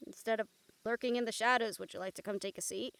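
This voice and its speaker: A woman playing a wry, gentle recruiter of supernatural outcasts in an intimate audio roleplay, teasing and earnest in manner. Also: a little high, fairly even intonation, quick pace, vocal fry. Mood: wry, calm.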